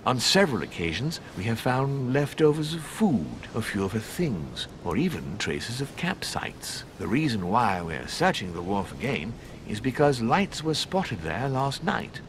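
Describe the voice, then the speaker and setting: A ceremonious manor servant in a game cutscene. His medium-pitched voice swings in animated intonation, obsequious and formal. Rumbling noise sits clearly behind him. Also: measured pace, hardly any pauses. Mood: formal, careful. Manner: obsequious, formal, addresses listener in third person. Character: deferential, ceremonious manor servant, male, eager to reassure. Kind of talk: video game cutscene acting. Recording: constant rumbling background noise.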